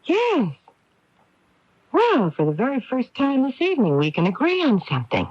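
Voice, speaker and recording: An actress playing a bitter middle-aged woman who is coolly threatening, sardonic and deliberate, in a radio mystery drama. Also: high-pitched, huge pitch swings, breathy edge, dry recording, full character voice. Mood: cold menace. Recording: dull, lo-fi 1980s radio broadcast.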